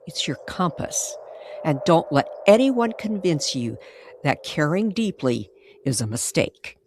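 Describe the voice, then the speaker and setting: A woman narrating a scripted, old-time radio style mystery story with deliberate, suspenseful phrasing. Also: low-pitched, big pitch swings, noisy background. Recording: music playing behind the voice. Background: music.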